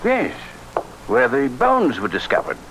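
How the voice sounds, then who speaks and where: An actor playing an affable, fussy English gentleman, hesitant and polite, in an old radio drama. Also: medium pitch, huge pitch swings, measured pace, creaky, full character voice, poor audio. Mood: affable, flustered. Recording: vintage radio recording, faint noise.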